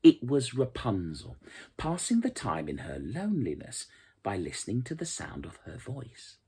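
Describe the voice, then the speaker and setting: A storyteller reading a fairy tale aloud in an expressive, dramatic and measured way. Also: mid-range voice, husky. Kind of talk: scripted storybook narration.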